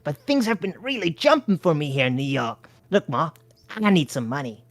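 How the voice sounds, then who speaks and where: A brash, greedy male hustler who is flat broke, pushy and full of slang, as a woman reading the story puts him on. The voice rises above her usual low pitch and swings widely, with a dry, close sound. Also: moderate pace, no echo. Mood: wheedling.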